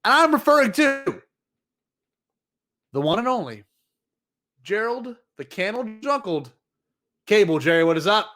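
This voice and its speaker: Higher-pitched man hosting a jokey podcast. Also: sing-song, measured pace, long pauses, sharp tone. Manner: rambling, jokey, playfully hyping. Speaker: podcast host. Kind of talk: casual joking podcast chat.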